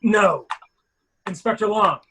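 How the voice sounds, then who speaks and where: A man's voice: sits high, takes it slowly, background noise. A man playing a polite, apologetic army major in a murder mystery reading, courteous and slightly hesitant. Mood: sheepish, evasive.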